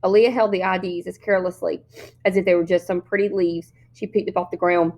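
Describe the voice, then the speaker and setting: A woman reading a novel aloud as an expressive storyteller, narrating the scenes. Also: fairly low pitch, a little fast. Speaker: story reader.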